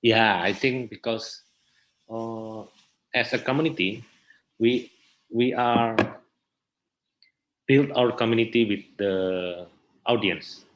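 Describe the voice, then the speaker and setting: A man with an Indonesian accent explaining things in an interview, halting and searching for his words. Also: on the low side, highs cut off, slight background noise. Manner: halting, searching for words in non-native English. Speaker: interview guest, theatre researcher and festival director.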